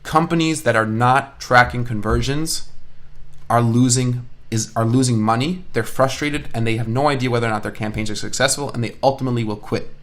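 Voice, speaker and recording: A man's voice: medium pitch, brisk, a bit bright. A man instructing an online course in an informal screencast style, explaining as he thinks aloud and repeats phrases for emphasis. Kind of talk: informal screencast software tutorial.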